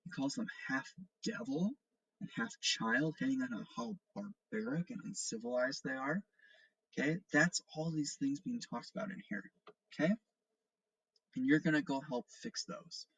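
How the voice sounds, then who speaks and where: Husky-voiced man teaching a remote class. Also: medium pitch, animated, normal speed, a bit bright, rough recording. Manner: explanatory, often checking in with "okay" and "all right". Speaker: seventh-grade teacher. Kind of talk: remote lesson instructions and read-aloud.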